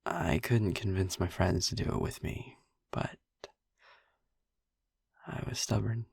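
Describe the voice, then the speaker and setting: Audio roleplay vampire boyfriend, male actor: low, very breathy husky voice, tender, halting confession on a close dry mic. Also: dry recording, heavily acted. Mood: wistful, reminiscing. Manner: hesitant, halting, soft-spoken, confiding. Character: gentle young-looking male vampire boyfriend confiding painful past. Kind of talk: intimate audio roleplay monologue.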